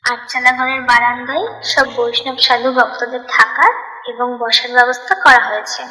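A woman's voice: on the high side, steady tone, moderate pace, bright, forceful tone. A woman voicing a humble, devout village housewife in a cartoon, speaking respectfully and earnestly. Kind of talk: devotional cartoon dialogue. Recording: echoey, long reverb.

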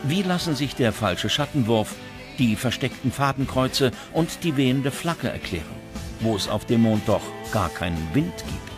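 A man reading scripted documentary narration with dramatic, measured phrasing. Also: medium pitch, expressive. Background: background music.

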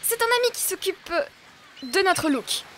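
A woman voicing a bubbly, friendly young girl character in a French puppy cartoon, perky and expressive. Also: extremely high pitch, normal speed. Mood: cheerful. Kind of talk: French animated series dub. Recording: background music under the voice.

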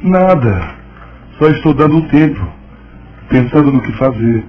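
An actor playing an evasive, gently teasing journalist in a radio soap. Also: male voice, medium pitch, big pitch swings, a little slow. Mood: confiding. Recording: dull lo-fi sound.

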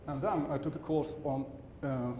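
A man's voice: mid-range voice, steady tone, moderate pace, dark tone. A middle-aged man from Sweden with a Swedish accent, presenting a technical conference talk on stage, often stopping to correct himself, with dry asides. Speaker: conference speaker. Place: large conference hall. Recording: roomy hall sound with background hum.

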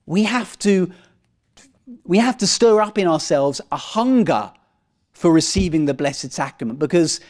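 Young priest guy in his 30s giving an earnest sermon, voice a bit on the high side, leans on key phrases. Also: darkish tone. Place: parish church, indoors. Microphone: lectern microphone at chest height.

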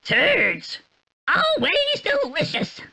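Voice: very high-pitched, huge pitch swings, very slow, pushed and bright, heavily acted. An actor voicing a silly, gleeful and proudly gross little cartoon rat in exaggerated video game lines.

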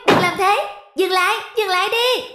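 A woman's voice: very high voice, moderate pace, echoey room, heavy background noise. A woman voicing a grumpy, irritable goldfish who snaps and scolds. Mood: angry. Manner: snappish, scolding. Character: grumpy irritable goldfish stuck under ice. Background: music.

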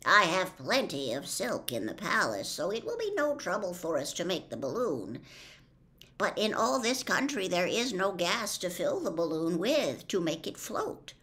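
A woman's voice: fairly low pitch, sing-song, moderate pace. A woman in her sixties voicing a humble male wizard who admits to being a humbug, speaking in a mild, confiding way. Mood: earnest. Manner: mild, confiding, gently pleasant.

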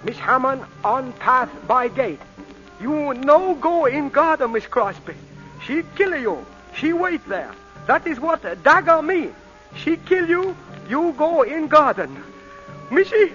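An actor playing a frightened servant giving urgent warnings in clipped broken English, in a 1940s radio drama. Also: male voice, very high-pitched, lively intonation, takes it slowly, a bit bright, exaggerated voice. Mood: fearful, urgent. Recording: muffled vintage radio recording with music.

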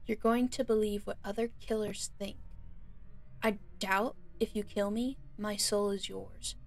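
A woman playing a frightened but defiant kidnapped young captive in an audio roleplay, pleading in halting phrases. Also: mid-range voice, fairly even intonation, natural voice.

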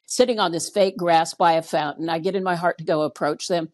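Woman pastor, 60s, lowish voice, conversational storyteller on a video call, leans on repeated words for emphasis. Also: steady tone, fairly quick, a little mellow. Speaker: pastor, interview guest. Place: indoor room on a video call.

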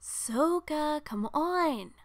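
Woman playing an urgent, high-pitched boy hero. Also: expressive, extremely slow, dry recording, studio quality, exaggerated voice. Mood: urgent calling. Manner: urgent, shouting. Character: young boy hero urgently calling to his friend.

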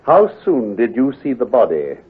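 An actor playing a shrewd, coolly sceptical detective, questioning with incisive authority in old-time radio drama style. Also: male voice, mid-range voice, huge pitch swings, normal speed, heavily acted. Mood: probing. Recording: muffled, husky old radio recording.

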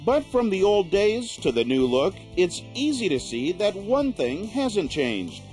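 Animated male voice-over narrator over music. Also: high-pitched, unhurried. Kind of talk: scripted feature narration.

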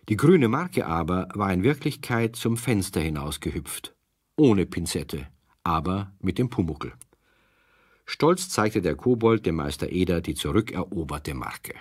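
Deep, smooth-edged male storyteller. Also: big pitch swings, a little slow, dry recording, studio quality. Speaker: narrator. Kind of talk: scripted storytelling narration for children's radio play.

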